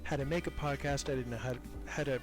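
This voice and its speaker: A man's voice: mid-range voice, steady tone, quick pace, over music. A man being interviewed as a podcast creator, talking casually and reflectively in a self-deprecating, hesitant way, repeating words as he thinks.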